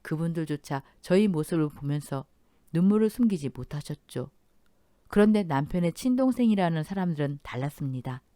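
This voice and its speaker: A woman narrating a dramatic family story in a measured, somber tone. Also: low voice, mellow, no echo, studio quality.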